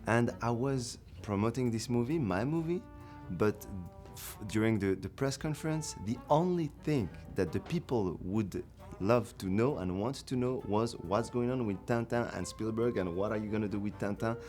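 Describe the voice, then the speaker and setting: An actor from Morocco talks with animated enthusiasm in a documentary interview, laughing easily. His voice sits low, with faint music under it. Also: moderate pace, few pauses.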